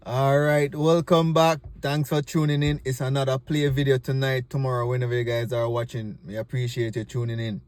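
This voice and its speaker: A man's voice: medium pitch, level tone, brisk, hardly any pauses, darkish tone, a little hiss or hum. A man with a Jamaican accent hosting a comedy video in a casual, chatty style. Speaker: host of a comedy clip show. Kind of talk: casual video intro and reaction.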